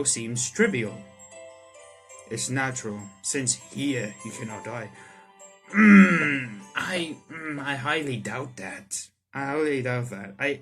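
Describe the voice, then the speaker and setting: A man doing let's play commentary on a visual novel, reading the text aloud and reacting to it excitably. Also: mid-range voice. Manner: excitable, reacts in sudden loud outbursts. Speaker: streamer playing a visual novel. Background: soft game music.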